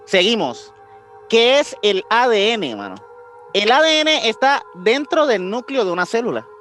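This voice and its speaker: High-voiced Puerto Rican man, music behind. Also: sing-song, unhurried, a bit bright. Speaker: podcast host. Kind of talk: casual podcast talk with explanations.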